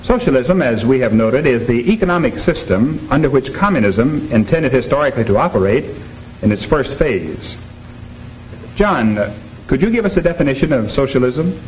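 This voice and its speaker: An old 1950s film soundtrack with faint noise carries an actor as an earnest American instructor. He is a man with a medium-pitched voice, lecturing in a measured, didactic way on a propaganda film. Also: animated, lightly acted, rough recording. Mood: stern, didactic. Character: earnest male anti-communist instructor lecturing students. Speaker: instructor presenting a lesson.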